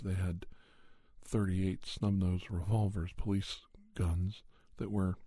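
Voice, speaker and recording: A man telling a spooky first-person story in a soft, breathy ASMR style, often near a whisper, in deliberate short phrases with pauses. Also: deep voice, no room sound. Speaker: podcast storyteller.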